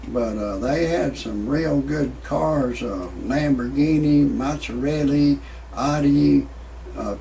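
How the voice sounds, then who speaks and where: Gravelly man in his sixties, noisy recording. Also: medium pitch, darkish tone. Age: 60s. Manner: folksy rambling, addressing the audience. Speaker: host of own online comedy show. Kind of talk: amateur stand-up style monologue with commentary.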